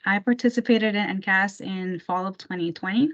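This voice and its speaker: A woman's voice: medium pitch, moderate pace. A woman in her twenties, from Puerto Rico, introducing herself as an engineering intern on a video call, fluent and cheerful. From Puerto Rico, raised in New Jersey. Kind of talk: self-introduction on a panel. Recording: dull video call audio.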